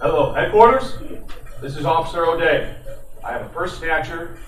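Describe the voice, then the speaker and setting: A man playing a brisk, plain-spoken young police officer in an old-time radio detective drama. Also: on the high side, animated, close to an everyday voice. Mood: brisk, official. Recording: roomy, distant mic, faint noise.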